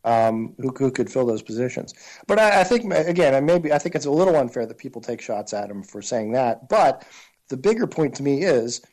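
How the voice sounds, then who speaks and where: Mellow-voiced man, wry political chat. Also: mid-range voice, animated, fairly quick. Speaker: political podcast co-host. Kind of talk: casual political discussion.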